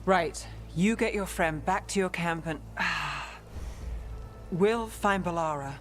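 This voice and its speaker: A woman's voice: fairly low pitch, takes it slowly, soft background music. An actress playing a stoic, injured female adventurer in a fantasy video game cutscene. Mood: stoic, weary.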